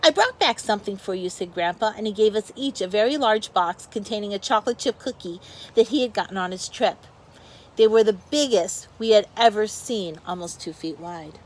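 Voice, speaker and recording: A woman's voice: medium pitch, moderate pace. A woman teacher reads a picture book aloud to young children, expressively, with explanatory asides to her pupils.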